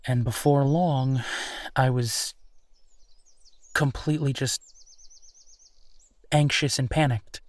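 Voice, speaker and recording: A man playing a gentle, embarrassed werewolf boyfriend in an intimate roleplay audio drama, speaking hesitantly and self-deprecatingly. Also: mid-range voice, fairly even intonation, takes it slowly, long pauses, no room sound, close to an everyday voice. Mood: explaining. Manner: hesitant, self-deprecating, sighing, soft chuckles.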